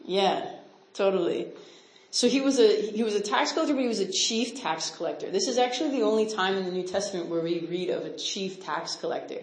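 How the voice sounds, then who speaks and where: A woman's voice: medium pitch, expressive, fairly quick. A woman from Canada, a missionary speaker, teaching a casual Bible lesson. She quizzes her audience and talks in a chatty way, with many "like" and "right?" asides. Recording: roomy echo.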